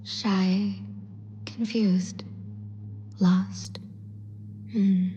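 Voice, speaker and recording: An actress voicing a mysterious female guide who speaks only in a breathy, cryptic whisper, in a game cutscene. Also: mid-range voice, little pitch movement, dark tone, dull audio, slight background noise. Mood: hushed. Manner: slow, measured, cryptic pronouncements. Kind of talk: video game cutscene voice acting.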